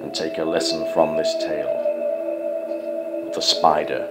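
A man recites a cautionary storybook poem as narrator. His delivery is slow and theatrical, in a low voice, with prominent music playing behind him. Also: flat delivery. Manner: measured, theatrical. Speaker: poetry reader, narrator.

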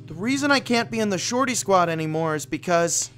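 Earnest, excitable cartoon teen boy, played by a woman, very lively intonation, music underneath. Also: fairly low pitch, fairly quick. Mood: apologetic. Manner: animated, earnest. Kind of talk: comic dub acting. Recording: music behind the voice.

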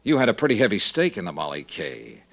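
An actor playing a tough, shrewd American insurance investigator in a 1950s radio drama, clipped and wry in manner. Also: male voice, on the low side, wildly swooping pitch, fast, gravelly, fairly bright tone, no room sound, exaggerated voice. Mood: firm, probing. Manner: clipped, hard-boiled, wry. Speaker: lead actor and narrator of a radio detective serial. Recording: muffled 1950s radio recording.

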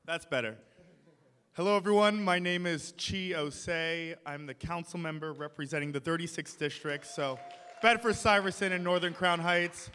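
A young man speaks at a podium in a big ornate hall, his voice bright and forceful. He builds to emphatic points with passion. A long reverb trails his words through the room. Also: fairly high pitch, normal speed, smooth. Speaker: city council member. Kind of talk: public speech at a podium. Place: large ornate hall, indoors. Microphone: podium mic. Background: audience in the hall.

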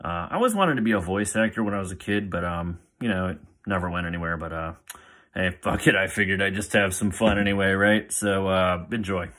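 Lowish-voiced man chatting casually. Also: a little fast, fairly bright tone. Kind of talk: casual vlog chat. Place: room at home. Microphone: phone at arm's length.

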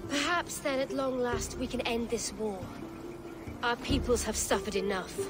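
An actress playing a dignified middle-aged noblewoman, formal and measured in her speech. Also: fairly high pitch, close to an everyday voice. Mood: diplomatic. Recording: game soundtrack with music underneath.

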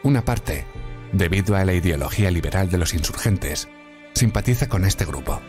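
Game narrator: grave military briefer played by a man, very low voice, dramatic scripted delivery, loud music underneath. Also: animated, moderate pace. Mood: grave, ominous.

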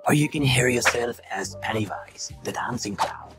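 A man's voice: mid-range voice, moderate pace, loud music behind the voice, pitched below the performer's own voice. A man using an AI voice changer to play a whispery, sinister male horror clown. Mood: creepy.

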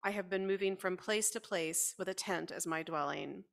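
A middle-aged woman reads a scripture passage aloud at a pulpit in a large church hall, in a measured, even way. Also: on the low side, gravelly. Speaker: scripture reader.

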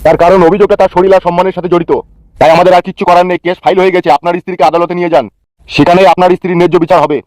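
A man's voice: sits high, level tone, very fast, darkish tone, no echo, natural voice, low-quality recording. Casting: man as blunt senior cop for comic cartoon, weary and stern, racing delivery, close dry sound. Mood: stern, lecturing. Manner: lecturing, blunt. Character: stern, weary, crude-tongued male senior police officer.

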